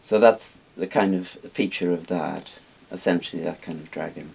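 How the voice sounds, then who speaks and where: A man's voice: deep voice, moderate pace, gravelly, darkish tone, husky. An older man from Wales explaining things casually and discursively as an interviewed expert, in a small book-lined room. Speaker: interviewed expert on Chinese dragons. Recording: hissy background noise.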